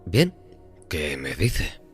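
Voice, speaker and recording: Man playing deep-voiced, wary, earnest bargainer. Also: fairly bright tone, husky, exaggerated voice. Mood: anxious, questioning. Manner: hesitant, earnest, politely questioning. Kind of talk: audio horror drama acting. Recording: music behind the voice.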